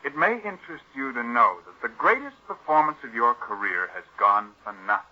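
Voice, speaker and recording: A cynical young-money wastrel, sardonic and idle, with a rough-edged voice and lively intonation. He plays it as forties radio drama, on a muffled vintage recording. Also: mid-range voice, brisk. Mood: mocking. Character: cynical, idle gambling brother of a scheming actress. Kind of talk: 1940s radio acting.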